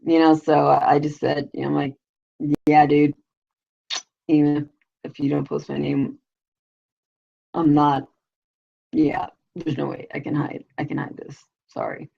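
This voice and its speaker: A woman with a low, raspy, heavily gravelly voice chats loosely on her own live show, rambling and crude, with "you know" in almost every phrase. The sound is dull and lo-fi. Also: little pitch movement, a little slow, pauses often. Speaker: vlogger, show host. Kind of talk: casual live video chat.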